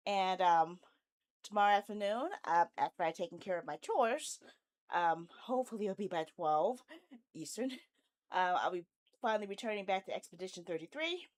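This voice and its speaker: A woman with a medium-pitched voice talks casually as a game streamer to viewers. Her delivery is rambling and candid, with a stubborn, grumbling streak. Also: lively intonation, normal speed. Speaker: video game livestreamer, host. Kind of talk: casual livestream chat.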